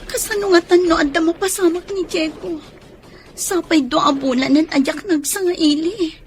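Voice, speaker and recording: A woman's voice: extremely high pitch, normal speed, slight background noise. A woman with an Ilocano accent playing an anxious, pregnant young woman in a radio drama. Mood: worried.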